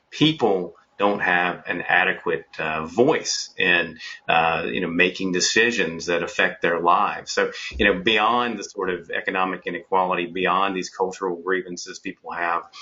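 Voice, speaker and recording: A man on a panel explaining poll findings in a measured way, leaning on filler phrases, with a husky voice. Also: sits low, pushed and bright, highs cut off. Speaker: pollster panelist. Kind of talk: virtual panel discussion.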